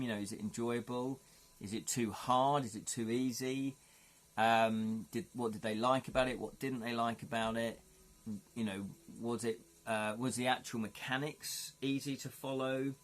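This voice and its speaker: A man's voice: mid-range voice, fairly even intonation, moderate pace. A man hosting a live stream, chatting casually and thinking aloud as he rambles with lots of "you know". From United Kingdom. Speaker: livestream host. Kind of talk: casual chat with viewers.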